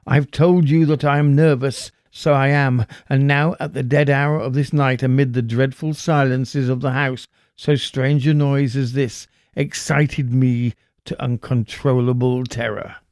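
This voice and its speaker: A man acts out a nervous madman telling his horror story. He is obsessive and insistent, speaking very deliberately with drawn-out pauses. The voice is soft-edged and dark, recorded close and dry. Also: mid-range voice, steady tone, clean tone, no room sound, close to an everyday voice. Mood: agitated insistence. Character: nervous, obsessive mad murderer confessing with intense insistence. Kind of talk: dramatic horror audiobook narration.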